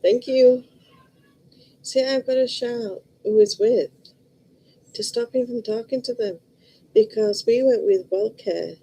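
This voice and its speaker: A woman's voice: fairly high pitch, slow, pauses often, mellow, breathy edge, low-quality recording. A middle-aged woman with a Liverpool accent, chatting casually and bluntly to her viewers in a rambling way. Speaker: vlogger. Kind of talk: casual chat to camera.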